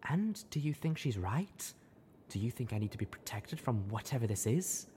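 A man voicing a gentle female pony who speaks softly and soothingly. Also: mid-range voice, sing-song, moderate pace, a little hiss or hum, close to an everyday voice. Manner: hushed and gentle.

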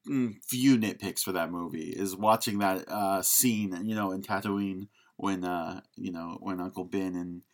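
A man's voice: deep voice, steady tone, measured pace, few pauses. A man hosting a podcast, chatting casually about film in a jokey, self-deprecating way. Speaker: podcast host.

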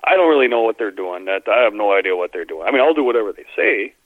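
A man's voice: lowish voice, fast, no room sound. A man co-hosting a podcast, chatting casually about sports and acting out players' quotes as he goes. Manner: animated, mimics players' quotes in asides. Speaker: podcast co-host. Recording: dry, phone-like narrow sound.

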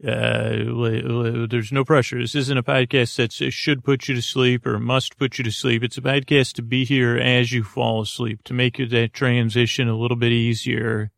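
A man with a creaky voice hosting a bedtime podcast, rambling in a halting, meandering monologue that trails off mid-thought. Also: medium pitch, flat delivery, fairly bright tone, no echo, studio quality. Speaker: podcast host.

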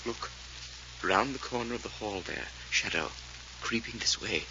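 An actor playing a proper, excitable English gentleman sidekick with a British accent, in polite, flustered 1940s radio style on a muffled old recording. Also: on the low side, moderate pace, noisy background, close to an everyday voice. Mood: hushed, alert.

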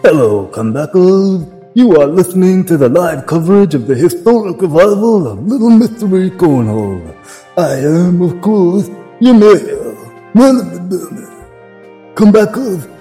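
A man's voice: sits high, dark tone, full character voice. A man playing a small-town lady mayor announcing a mock live pageant broadcast.

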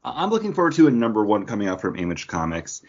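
A man co-hosting a pop-culture podcast, chatting casually in a husky voice. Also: lowish voice, wildly swooping pitch, fast. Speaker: podcast co-host. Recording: dull, lo-fi podcast audio.

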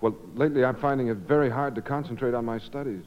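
An actor playing a bashful, lovestruck young student in a 1950s radio comedy, stammering and hesitant. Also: male voice, medium pitch, fast talker, close to an everyday voice. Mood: shyly smitten. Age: young adult. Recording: noisy, muffled 1950 radio broadcast.

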